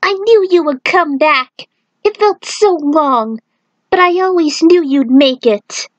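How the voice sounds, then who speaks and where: A woman's voice: sits high, slow pace, no room sound, voice pushed up, rough recording. A woman voicing an admiring six-year-old boy, sweet, earnest and hopeful, in a narrated story. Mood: admiring, tender.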